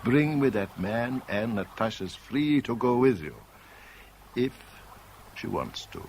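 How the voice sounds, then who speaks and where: An actor playing an arrogant, calculating, suave manipulator in a 1970s radio drama, with an urbane, measured, condescending delivery. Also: male voice, mid-range voice, sing-song, darkish tone. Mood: evasive, commanding. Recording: hissy 1970s radio broadcast recording.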